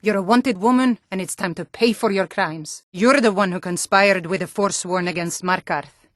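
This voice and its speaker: A woman's voice: medium pitch, normal speed, no room sound, clean studio sound, close to an everyday voice. An actress voicing a tough Nord guard and bandit woman in a fantasy video game, stern, threatening and taunting. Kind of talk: video game character voice lines.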